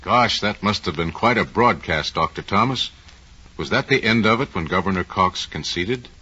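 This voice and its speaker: Male radio host, 1940s announcing style, formal diction, crisp bright edge, slightly rough, dull vintage sound. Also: sits low, expressive, moderate pace.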